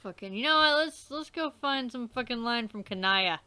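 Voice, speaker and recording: Woman let's player, high-pitched, rambling commentary full of cursing. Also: animated, normal speed, sharp tone, smooth, no room sound. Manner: swears a lot, rambling. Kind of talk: casual game commentary.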